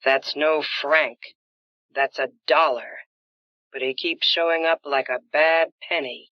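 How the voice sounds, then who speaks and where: An actress playing a cool, scheming widow in an old-time radio detective drama, composed, formal and quietly menacing. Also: female voice, low-pitched, fairly even intonation, unhurried, no echo, muffled recording. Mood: wry.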